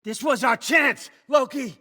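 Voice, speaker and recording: A man's voice: very high-pitched, unhurried, sharp tone, exaggerated voice. An older American man acting in a video game as a scheming, controlling old father god, wry, folksy and conversational as he manipulates. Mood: raging, anguished.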